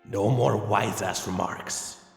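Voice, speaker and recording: A man voicing a gruff, sardonic, resentful vampire brother in a dramatic story, with a deep, blunt delivery. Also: takes it slowly. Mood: gruffly amused. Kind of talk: dramatic storybook character reading. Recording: echoey long reverb over background music.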